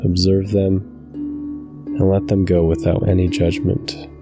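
A man guiding a meditation from a script in a calm, measured, soothing way. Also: sits low, over music. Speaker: meditation guide, channel host. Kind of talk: scripted guided meditation narration.

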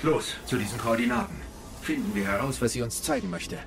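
A man playing a small, wry and curious robotic AI companion in a radio play, speaking in an analytical, expressive way. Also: medium pitch, a little fast, vocal fry. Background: music.

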